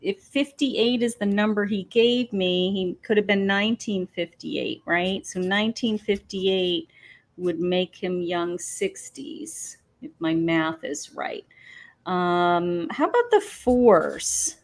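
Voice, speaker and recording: A woman hosting a live psychic reading from her patio, talking slowly with many pauses and fillers as she thinks aloud. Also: medium pitch. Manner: slow, hesitant, many ums, thinking aloud. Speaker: psychic medium host. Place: home patio.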